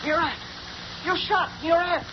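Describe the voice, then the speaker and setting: A man's voice: very high voice, measured pace, muffled recording. An actor playing a brash, wisecracking gangster henchman in 1930s radio drama style, on a noisy old radio recording. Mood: scared. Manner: brash, wisecracking tough-guy talk. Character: tough-talking gangster henchman.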